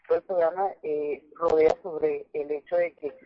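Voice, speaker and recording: A woman, an English speaker, giving earnest conversational advice as a radio caller, halting and repeating words for emphasis. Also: low-pitched, steady tone, dry recording. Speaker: phone-in caller, English teacher. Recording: muffled telephone line on radio.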